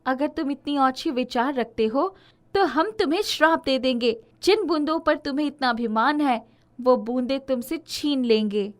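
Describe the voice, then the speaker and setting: A woman acts out a bossy, righteous fairy from a storybook. She has a high voice and speaks firmly, scolding with concern and resolve. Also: normal speed, natural voice. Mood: stern. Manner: firm, scolding. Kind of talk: animated storybook character acting.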